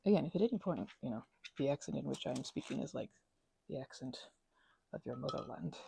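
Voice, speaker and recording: Soft, gravelly woman with a put-on Scottish lilt. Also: rumbling low, animated, fast, a little hiss or hum. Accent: playful put-on Scottish. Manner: drifts between soft talk and whispers, chatty and self-deprecating. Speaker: ASMR crafter. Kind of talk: rambling soft-spoken ASMR craft chat.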